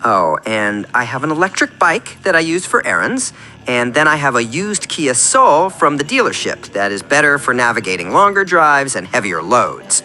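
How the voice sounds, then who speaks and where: A man's voice: mid-range voice, sing-song, normal speed, pushed and bright. A man playing an eager, fawning tradesman who calls a radio doctor, earnest, rambling and oversharing in a comic radio play. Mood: rambling, confiding.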